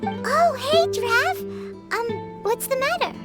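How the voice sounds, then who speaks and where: A tiny, cheeky fairy companion from a video game. The voice is extremely high and childlike, swinging widely in pitch at an unhurried pace, and it squeaks with excitable energy. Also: female voice, over loud music, exaggerated voice. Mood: curious. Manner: refers to self in third person, expressive. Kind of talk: English video game voice acting.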